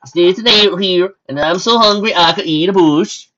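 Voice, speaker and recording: A woman acts out a boastful, easygoing horse soldier from a fantasy story. The voice is loud and projected, lifted well up in pitch. The recording is dull and lo-fi. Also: normal speed. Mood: defensive bragging.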